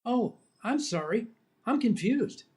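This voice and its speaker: A man's voice: extremely high pitch, lively intonation, takes it slowly, mellow, heavily acted. Male actor as naive young man, old-time radio comedy style, befuddled and simple-minded. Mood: confused. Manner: guileless, dim-witted.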